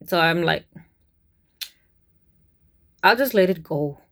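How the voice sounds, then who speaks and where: Kenyan woman telling a story, animated. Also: lowish voice, takes it slowly. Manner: animated, chuckles often, conversational. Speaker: vlogger, cruise ship worker. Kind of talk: casual storytelling to camera.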